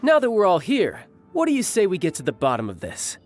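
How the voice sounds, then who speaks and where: A man's voice: high voice, huge pitch swings, a little fast, a little mellow, soft background music. A man voicing a confident, easygoing young warrior in an anime game, breezy and casual. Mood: brisk, confident. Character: cocky, easygoing young male warrior who enjoys battle.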